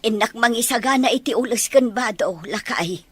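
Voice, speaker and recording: A woman playing a concerned, practical older relative in an Ilocano audio drama, with a husky voice. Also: on the high side, moderate pace, a bit bright, dry recording. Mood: worried, resigned.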